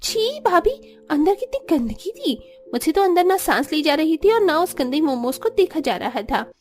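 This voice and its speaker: Cartoon young sister-in-law: very high, pleading, demanding, food-mad. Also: female voice, very animated, normal speed. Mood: disgusted. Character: young sister-in-law, whiny, demanding, momo-crazy schoolgirl. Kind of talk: Hindi cartoon story acting.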